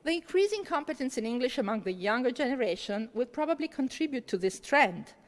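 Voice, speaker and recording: Woman, conference keynote, kinda halting with lots of ums, big reverby hall. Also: on the high side, sing-song. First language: Italian. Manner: hesitant, many fillers and restarts in answers. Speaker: keynote speaker. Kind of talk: academic lecture and Q&A answers. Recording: long reverb of a lecture hall.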